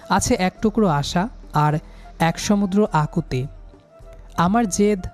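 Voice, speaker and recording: A man's voice: high voice, a little mellow. A young man narrating a scripted first-person love story in a dramatic, sentimental manner.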